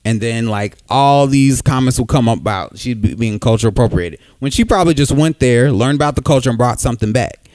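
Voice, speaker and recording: A young man co-hosting a podcast who pushes back in a measured, calm way. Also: medium pitch, dry recording. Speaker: podcast co-host. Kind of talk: casual debate chat.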